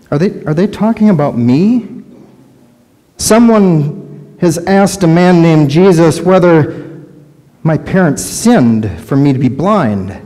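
A middle-aged man playing a lonely blind beggar, speaking a halting, questioning inner monologue in a large church sanctuary. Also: fairly high pitch, warm tone. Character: lonely blind adult male beggar by a road. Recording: long church reverb.

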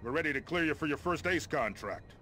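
An actor playing a gruff, blunt American army colonel with a wry, folksy streak in a video game. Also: male voice, on the high side, animated, brisk, bright, forceful tone, a little hiss or hum. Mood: brisk, businesslike. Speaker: army colonel, commander giving mission briefings. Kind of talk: video game character dialogue.

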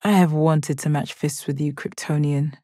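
An actress voicing a calm, stoic alien warrior woman in a video game, with measured, deadpan, formal phrasing and a confident, kind temper. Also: low-pitched, no room sound, clean studio sound. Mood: assured. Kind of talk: video game character voice lines.

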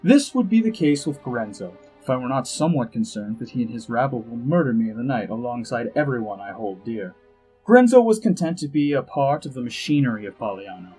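A man playing a suave, haughty elf diplomat in a fantasy audio drama, with measured, arch, dryly witty delivery. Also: mid-range voice, a little mellow, breathy edge, poor audio. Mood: dryly amused. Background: background music.

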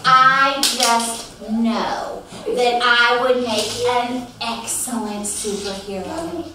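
A woman reading a picture book aloud as an earnest, dreamy little boy fly who wants to be a superhero, in a deliberate, childlike way. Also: fairly high pitch, far from the mic, noisy background, voice pushed down. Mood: proud, earnest. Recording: echoey room from afar, children around.

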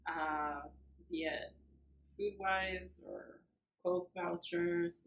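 Low-voiced woman in a small office. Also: rough recording. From Argentina. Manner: plain, friendly, unhurried with fillers. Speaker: church administrative assistant. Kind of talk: casual interview answers. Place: small church office, indoors.

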